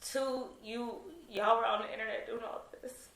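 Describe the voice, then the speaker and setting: A woman's voice: a little high, level tone, moderate pace, fairly bright tone. A young woman giving an interview, talking earnestly and hesitantly with many fillers and restarts. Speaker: interview guest. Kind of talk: candid interview testimony. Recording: roomy with faint noise.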